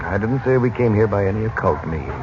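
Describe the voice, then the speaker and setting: A man's voice: lowish voice, animated, fast talker, a little mellow, slight background noise, natural voice. A male actor playing a grave, mysterious magician uncle, solemn and portentous, in 1940s radio serial style. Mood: grave, guarded. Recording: muffled old radio sound, music behind.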